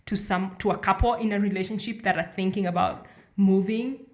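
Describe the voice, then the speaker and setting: A young woman from Kenya co-hosts a podcast, talking in a casual, hesitant way and pausing to find the right word. She sits close to a desk mic in an indoor room. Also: medium pitch, steady tone, a little mellow, roomy sound. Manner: hesitant, searching for words. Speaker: podcast co-host. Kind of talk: casual conversation. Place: indoor room, sitting on a couch. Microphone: desk condenser mic in front of her.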